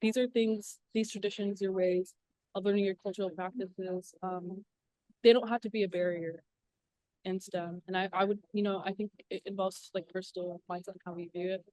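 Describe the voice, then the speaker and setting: A woman's voice: medium pitch, steady tone, normal speed, a little mellow, no room sound, low-quality recording. Earnest young woman panelist. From southwest Alaska. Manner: earnest, encouraging, many fillers. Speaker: panelist, engineer. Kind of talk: casual panel talk.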